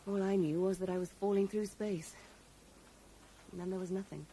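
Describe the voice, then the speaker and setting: A woman's voice: medium pitch, fairly even intonation, moderate pace, warm tone, close to an everyday voice. An actress playing a grieving, guarded and bitter woman in a radio drama, wistful yet curt with her questioner. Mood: wistful recollection. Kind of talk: 1980s radio drama acting. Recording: noisy 1980s radio broadcast recording.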